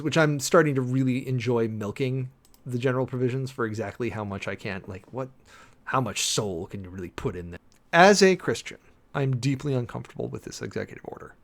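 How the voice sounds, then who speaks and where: A man reading aloud dramatically and breaking off into informal, opinionated commentary, with an emphatic, theatrical manner and frequent asides. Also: mid-range voice. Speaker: host, preacher giving commentary.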